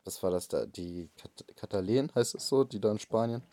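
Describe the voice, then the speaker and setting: A man's voice: on the low side, normal speed, mellow. A young man co-hosting a casual chat podcast, rambling with hesitant restarts. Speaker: podcast co-host.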